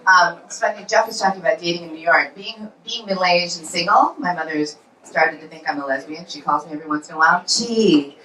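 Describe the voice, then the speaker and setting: A woman's voice: fairly low pitch, fast talker, bright, forceful tone. A woman in her forties doing a stand-up comedy set in a club, telling punchy self-deprecating stories. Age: 40s. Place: comedy club in New York. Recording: roomy club sound, from far off.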